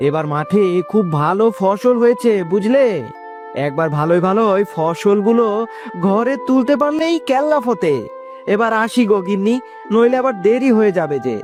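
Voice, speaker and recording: A man's voice: high voice, expressive, normal speed, over music. A man playing a poor, hardworking village farmer husband in a cartoon, with a folksy, affectionate way of talking. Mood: cheerful, hopeful. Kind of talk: cartoon story dialogue.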